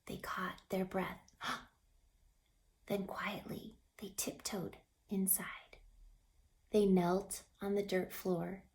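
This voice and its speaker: A woman reading a children's story aloud with dramatic expression, stretching words and dropping into hushed passages. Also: mid-range voice, little pitch movement, extremely slow, pauses often, in a whisper. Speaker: storybook reader.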